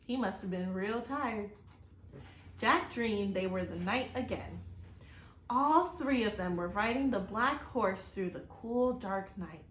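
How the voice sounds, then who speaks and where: A woman reading a children's story aloud like a teacher, expressively, pausing to address her young listeners with questions. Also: mid-range voice. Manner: expressive, acts out exclamations and gasps. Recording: roomy echo, faint background noise.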